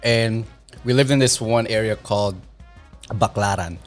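A man in his thirties from the Philippines tells stories in a relaxed, meandering way, often restarting his sentences. His voice sits low. Faint music plays underneath. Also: a little slow. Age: 30s. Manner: rambling, self-correcting storyteller. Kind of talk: casual chat.

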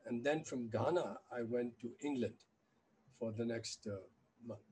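An older man over some background noise. He answers as an interview guest, haltingly, feeling his way through recollections and correcting himself as he goes. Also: mid-range voice, darkish tone. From India, with childhood years in China and the US. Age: 70s. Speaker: interview guest, activist and former journalist. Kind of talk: reflective interview conversation.

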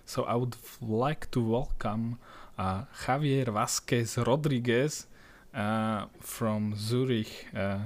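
A man's voice: mid-range voice, slow pace. Hesitant male podcast co-host. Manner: hesitant, many fillers and restarts. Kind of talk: casual podcast chat.

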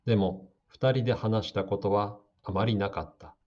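A man giving slow, calm, scripted narration for a radio drama meant for learners. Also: lowish voice. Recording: dull lo-fi audio.

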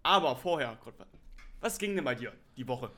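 A man's voice: mid-range voice, animated, normal speed, sharp tone. A young man hosting a podcast, joking and teasing his co-host in casual chat.

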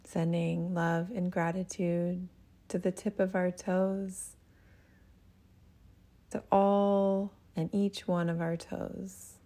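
A woman leading a guided meditation as a teacher, speaking in a gentle, soothing manner with breathy phrase endings. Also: lowish voice, flat delivery, slow.

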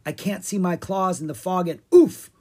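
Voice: mid-range voice, moderate pace, mellow, slightly rough, voice pushed up. A storybook reader voicing a cheeky, hungry young boy dragon. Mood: teasing, bold. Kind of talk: animated children's storybook character reading.

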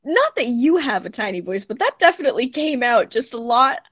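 A woman's voice: fairly high pitch, very animated, fairly quick, fairly bright tone, dull audio. A woman co-hosting a casual podcast, playful and teasing, tossing out rhymes. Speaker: podcast co-host. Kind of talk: casual chat.

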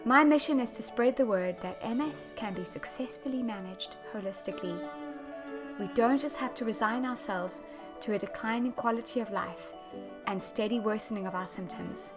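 A woman with a slightly higher voice than most hosts her solo podcast as a health coach. Her voice is a little croaky from a recent chest infection. She talks in an earnest, explaining way, walking listeners through her points one by one. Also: moderate pace, music in the background.

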